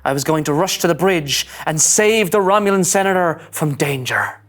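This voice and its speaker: A woman voicing an anxious, rule-following young male starship ensign in a scripted animated sci-fi read, fretful and earnest. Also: low-pitched, normal speed. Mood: grave narrating. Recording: music under the voice.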